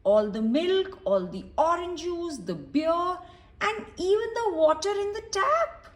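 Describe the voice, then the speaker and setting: A woman's voice: extremely high pitch, lively intonation, unhurried. A woman reading a storybook aloud to young children, explaining it simply and putting questions to the listener. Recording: roomy echo, distant from microphone.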